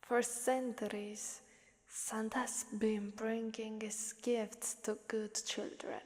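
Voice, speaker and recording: Girl doing a creepy hungry demon lady for asmr, super slow breathy whisper, teasing, big echoing reverb. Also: medium pitch, a little mellow. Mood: sly, menacing. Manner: slow, teasing, menacing, drawn-out phrasing. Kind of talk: ASMR roleplay monologue. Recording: long hellish reverb.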